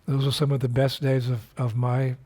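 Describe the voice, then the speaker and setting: Husky-voiced older man giving a reflective message. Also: mid-range voice, level tone, warm tone. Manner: unhurried, reflective, with frequent fillers and restarts. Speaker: spiritual teacher. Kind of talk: recorded video message.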